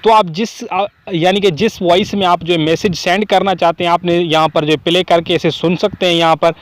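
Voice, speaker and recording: Male tutorial host, pitched a bit high, close dry sound, casual explanatory delivery that repeats itself. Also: steady tone, moderate pace, no echo. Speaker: host of a phone tips video channel. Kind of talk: casual app tutorial to camera and screen.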